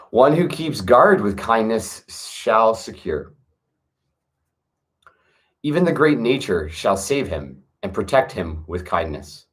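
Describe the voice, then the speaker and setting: A man with a fairly low voice reads slow, solemn verses aloud. He speaks in a measured, earnest way and leans into key words for emphasis. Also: fairly bright tone. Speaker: reader of a classic text. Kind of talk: solemn reading aloud with asides.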